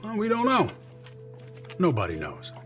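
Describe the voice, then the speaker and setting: A man's voice: sits high, wildly swooping pitch, a little slow, a bit bright, soft background music, heavily acted. An actor playing a weary, skeptical male intelligence chief in an audio drama, clipped and sardonic with heavy sighs. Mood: grim, emphatic. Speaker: senior agency official.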